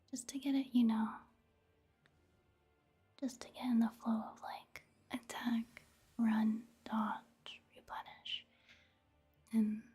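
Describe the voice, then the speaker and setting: Woman doing whispery asmr game commentary, super breathy, mellow and pretty flat pitch, casual and gentle. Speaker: video game streamer and ASMR creator.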